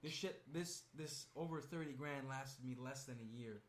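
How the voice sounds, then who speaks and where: A man's voice: mid-range voice, normal speed. A twenty-year-old man co-hosting a podcast, chatting casually in a crude, rambling, joking way. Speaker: podcast co-host. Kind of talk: casual chat. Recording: echoey room with faint noise.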